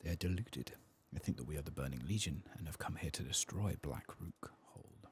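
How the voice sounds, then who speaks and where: A man doing soft-spoken ASMR game commentary, gentle and unhurried, often slipping into a whisper close to the microphone. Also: extremely low pitch, a little hiss or hum. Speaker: gaming ASMR streamer.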